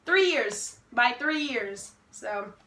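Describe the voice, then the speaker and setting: A woman's voice: fairly high pitch, animated, slow pace, sharp tone, roomy sound, a little hiss or hum. A woman in her twenties from Haiti hosting a casual chat video with her brother, playful and self-assured, laughing often. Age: 20s. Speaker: vlogger host.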